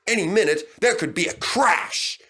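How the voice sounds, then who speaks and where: Male storybook narrator: dramatic scripted read, bright forceful tone, animated pitch. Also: moderate pace.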